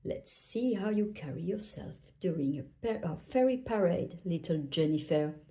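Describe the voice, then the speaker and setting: A woman's voice: lowish voice, lively intonation, normal speed, warm tone. A woman voicing a regal, teasing fairy queen with a gracious but condescending manner in a storybook.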